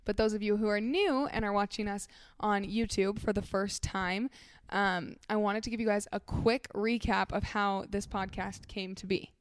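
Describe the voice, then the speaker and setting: Female podcast co-host, bubbly and eager, smooth clear voice, dry sound. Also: medium pitch, fairly even intonation, normal speed, dry recording. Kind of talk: casual chat.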